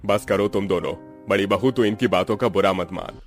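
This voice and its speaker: A man's voice: on the low side, moderate pace, soft background music, natural voice. A man voicing a traditional-minded older father-in-law in a Hindi cartoon drama, emphatic and moralizing. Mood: protective, proud.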